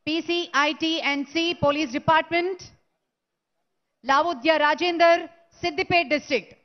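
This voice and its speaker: A woman announcing recruits' names one by one at an award ceremony, in a slow, measured way. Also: high voice. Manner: slow, measured name-calling into a PA. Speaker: event announcer. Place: large stadium ceremony. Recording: dull lo-fi broadcast sound.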